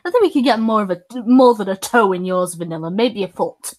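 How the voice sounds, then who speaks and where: A woman's voice: mid-range voice, expressive, fairly quick, a little mellow. A woman hosting a casual stream chat, teasing her guests playfully and laughing often. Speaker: streamer host.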